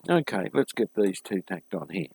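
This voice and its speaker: A man giving a casual, unscripted hands-on repair walkthrough, thinking aloud haltingly and addressing viewers informally as a hobbyist host. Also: lowish voice, sing-song, gravelly, rough recording. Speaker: hobbyist host of a vintage radio restoration series.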